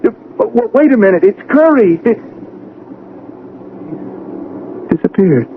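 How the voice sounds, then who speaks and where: An American actor playing a proud young scientist, shaken and bewildered, with intense, halting delivery in a radio drama. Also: male voice, high-pitched, sing-song, phone-quality sound. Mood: agitated. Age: young adult. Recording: noisy, band-limited old radio broadcast.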